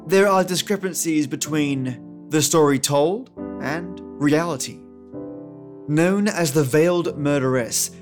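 A man hosting a podcast, giving scripted narration and commentary in a solemn, deliberate storytelling manner. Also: fairly high pitch. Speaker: podcast host. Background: soft plucked guitar music.

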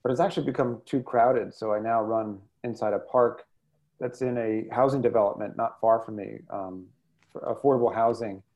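A man speaking as an interview guest, answering in a thoughtful, measured, reflective way with literary phrasing. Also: medium pitch, steady tone, darkish tone, highs cut off. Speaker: interview guest, poet and editor.

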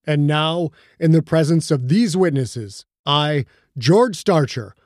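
A man's voice: a little high, slow pace, no room sound, studio quality. A man voicing a pompous minister of the old ways who speaks solemnly and grandly.

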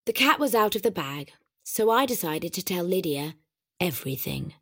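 An actress playing a sly, malicious inner voice, narrating in a British accent with measured, deadpan control. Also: female voice, on the low side, full character voice. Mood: coolly gleeful. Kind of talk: scripted first-person drama narration. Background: music.

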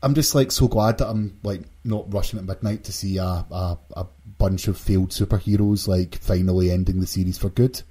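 A man's voice: sits low, fairly even intonation, fairly quick, few pauses, warm tone, breathy edge. A man hosting a casual podcast, reading out news and giving his opinions in a dry, blunt way. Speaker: podcast host.

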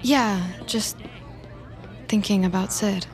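A woman's voice: medium pitch, slow, music in the background, crowd noise, lightly acted. A woman playing a nervous, evasive office worker in an audio drama, hesitant and stammering. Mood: guarded. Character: nervous, evasive woman hiding guilt at work.

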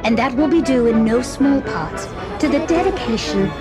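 A woman's voice: fairly high pitch, lively intonation, moderate pace, natural voice. An actress playing a grandiose, falsely gracious queen, pompously proclaiming to her city in an audio drama. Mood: grand, gracious. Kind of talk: scripted royal proclamation in a podcast trailer. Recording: loud music behind the voice.

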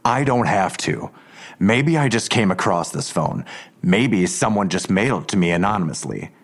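A menacing police detective in an audio drama. The voice is low, and the questioning is controlled and unhurried. He taunts coolly and insinuates. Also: lively intonation. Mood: taunting.

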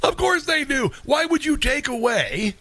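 A man's voice: extremely high pitch, big pitch swings, a little slow, bright, forceful tone, no echo. A male radio talk show host delivering an indignant, scoffing opinion monologue into a studio microphone.

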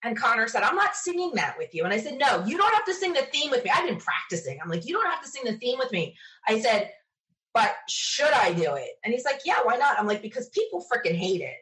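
A woman's voice: a little high, big pitch swings, fast, a bit bright, poor audio. A woman from the United States chatting enthusiastically as a podcast interview guest, telling stories in a casual way. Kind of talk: casual interview chat, animated anecdotes.

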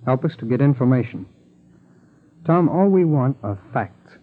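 A thin, phone-like vintage broadcast carries an American FBI agent with a mid-pitched voice. He is earnest and brisk, acted in 1940s radio style. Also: expressive. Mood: earnest, grave.

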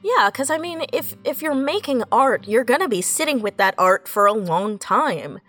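A woman's voice: a little high, sing-song, moderate pace, a bit bright. A woman speaks as a writer on a discussion panel, reflective and encouraging. She leans on key phrases and repeats them with earnest emphasis. Faint music plays behind her voice. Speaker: audio fiction writer on a panel.

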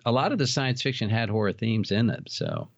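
A middle-aged American man telling stories casually as an interview guest, in a halting, discursive way. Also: on the low side, wildly swooping pitch, vocal fry, no room sound, muffled recording. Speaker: podcast guest, author and haunted house operator.

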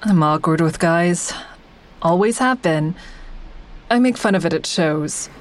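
A woman's voice: lowish voice, animated, unhurried, a little hiss or hum. A woman playing an awkward, smitten survivor who narrates her own story in an audio drama, confiding and self-deprecating. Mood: confiding, nervous.

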